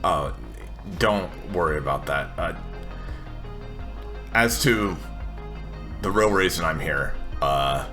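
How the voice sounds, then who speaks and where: A man voicing a guarded, hesitant woman who talks haltingly in a tabletop game. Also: low-pitched, expressive, bright, forceful tone, soft background music, heavily acted. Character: guarded, hesitant tech-savvy woman seeking help.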